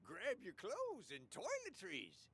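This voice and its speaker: A high, bossy asylum patient. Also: male voice, wildly swooping pitch, slow, a bit bright, slightly rough, heavily acted. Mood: officious, irritable. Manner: curt, officious. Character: bossy male mental patient who believes he's a caregiver. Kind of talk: interactive radio drama acting.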